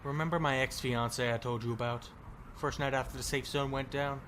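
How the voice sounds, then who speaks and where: A man's voice: medium pitch, steady tone, brisk, fairly bright tone, lightly acted. Man acting a bitter, weary apocalypse survivor, faint noise. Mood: bitter regret. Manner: sarcastic, slangy, weary. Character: bitter, regretful male zombie-apocalypse survivor, gruff but caring. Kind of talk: audio drama acting, casual profane dialogue.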